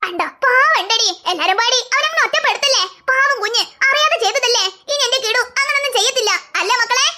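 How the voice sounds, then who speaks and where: A performer with a very high voice voicing a kind, doting old grandmother who defends a small boy. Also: expressive, fast, few pauses. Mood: soothing. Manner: soothing, protective. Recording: loud background noise.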